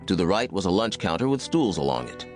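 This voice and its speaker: A man's voice: low-pitched, big pitch swings, fast, darkish tone, soft background music. A man with an American accent reading scripted narration for a Western audiobook.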